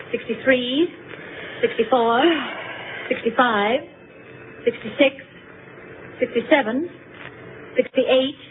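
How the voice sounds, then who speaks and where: An actress playing a composed, gracious society hostess in an old radio drama, speaking with deliberate precision. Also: female voice, on the high side. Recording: tinny, very noisy old radio recording.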